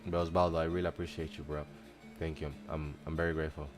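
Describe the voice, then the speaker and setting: A male streamer from the Dominican Republic talks in a relaxed, slangy way, often thanking his viewers. His voice sits low. Soft music plays quietly behind him. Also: fast talker. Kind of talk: casual live chat reacting to a video.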